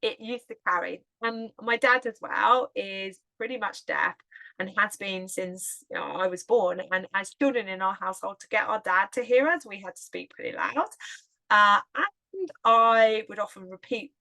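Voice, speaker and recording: Middle-aged english woman with a hertfordshire accent, loud and chatty, laughs a lot, bright voice. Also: mid-range voice, normal speed, vocal fry, rough recording. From England. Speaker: podcast host and yoga teacher. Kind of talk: casual solo podcast talk.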